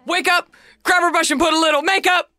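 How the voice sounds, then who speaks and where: A woman in her thirties from the United States with a deep voice, hosting a podcast in a chatty, joking way with sarcastic asides. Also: fairly even intonation, fairly quick, sharp tone, no echo, clean studio sound. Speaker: podcast host. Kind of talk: solo podcast chat.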